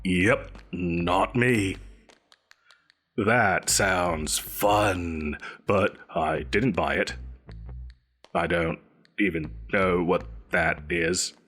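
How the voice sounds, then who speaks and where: An actor playing a pompous, petulant supervillain boss in an audio roleplay, blustering theatrically and defensively. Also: male voice, low voice, very slow, a bit bright, faint music behind, heavily acted. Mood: stubborn denial. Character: pompous, petulant, evasive male supervillain employer. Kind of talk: scripted audio roleplay addressed to a listener.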